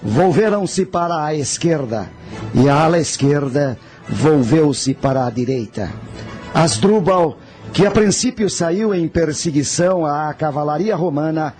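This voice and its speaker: A man's voice: a little high, lively intonation, unhurried, darkish tone, faint music behind. A man playing a proud ancient general who recounts battle in a solemn, formal way in a radio drama. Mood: grave, commanding.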